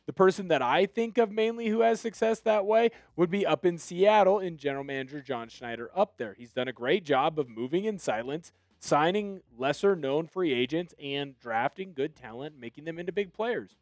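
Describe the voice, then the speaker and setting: A young man hosting a sports news commentary, talking with firm opinions in a fairly high voice. His delivery swings into animated excitement at times. The sound is dry and close, with no room echo. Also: normal speed, few pauses. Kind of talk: solo sports commentary video.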